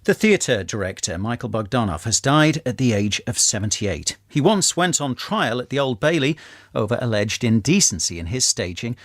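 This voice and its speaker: Newsreader, animated range, dry studio. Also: male voice, medium pitch, normal speed, no room sound. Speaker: radio newsreader. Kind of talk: scripted news bulletin reading.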